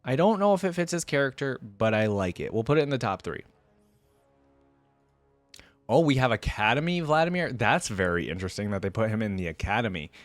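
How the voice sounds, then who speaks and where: A man's voice: medium pitch, expressive, a little fast, no echo, faint music behind. A man giving casual reaction commentary as a host, chatty and informal, thinking aloud as he goes.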